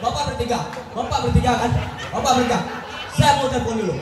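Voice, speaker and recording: A man's voice: extremely high pitch, fast talker, recorded from a distance, crowd noise. A man with a West Sumatran accent playing a blustering, argumentative rich villager in a comedy sketch. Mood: indignant. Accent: West Sumatran Indonesian. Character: blustering, indignant rich village husband refusing police demands. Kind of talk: amateur regional comedy sketch. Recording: echoey, off-mic, music behind.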